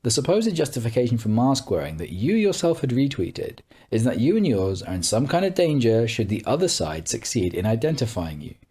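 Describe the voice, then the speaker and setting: A man's voice: mid-range voice, very animated, fairly quick, few pauses, warm tone, slightly rough. A man reading a scripted narration in a pointed, sarcastic, accusatory manner. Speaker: narrator of a call-out video.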